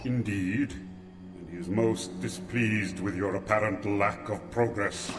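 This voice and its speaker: An actor playing a cold armored dark lord in a radio drama, deep and booming, speaking in a measured, formal, threatening way. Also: male voice. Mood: cold menace. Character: menacing armored dark lord, cold, commanding, half machine. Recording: long hall reverb, orchestral music underneath.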